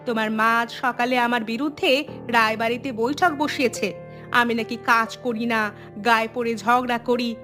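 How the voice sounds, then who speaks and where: A woman's voice: on the high side, fairly even intonation, normal speed, over music, lightly acted. A woman voicing an aggrieved, quarrelsome young wife in a village cartoon, arguing and complaining. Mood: indignant. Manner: complaining, argumentative. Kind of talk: Bengali moral-tale cartoon dubbing.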